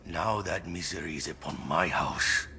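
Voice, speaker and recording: An actor playing a bitter, despairing South American man, hushed and grave, in a game cutscene. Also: deep voice, moderate pace, creaky, slight background noise, exaggerated voice. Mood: bitter, grieving. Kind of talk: dramatic game cutscene acting.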